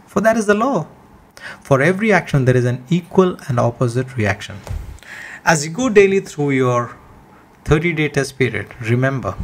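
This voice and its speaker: A man giving a scripted motivational reading in an earnest, deliberate, emphatic way, with a husky edge to his voice. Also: on the high side. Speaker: reader of a motivational text.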